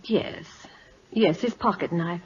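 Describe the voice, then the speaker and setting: A woman's voice: lowish voice, takes it slowly, a little mellow. A woman playing a tender, proper Victorian schoolteacher and mother, earnest and emotional in an old radio drama. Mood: subdued, grateful. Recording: muffled vintage radio broadcast.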